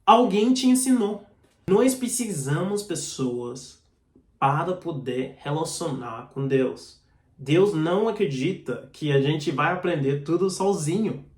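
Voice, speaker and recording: A man's voice: fairly high pitch, animated, slow, darkish tone. A man preaching a sermon in Portuguese with a foreign accent. He teaches in an earnest, direct way and reads out scripture verses. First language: not Portuguese. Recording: echoey room.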